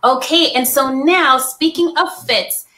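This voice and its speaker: A woman hosting an interview, warmly affirming her guests and laughing easily. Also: high-pitched, measured pace. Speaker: host.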